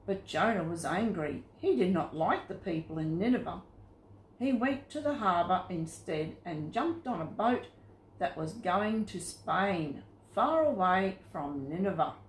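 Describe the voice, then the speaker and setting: A woman narrates Bible stories for young children. She goes slowly and keeps the telling simple and expressive. The recording has a noticeable room echo around her voice. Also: fairly low pitch, slight background noise. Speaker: storyteller for children. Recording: roomy, echoing sound.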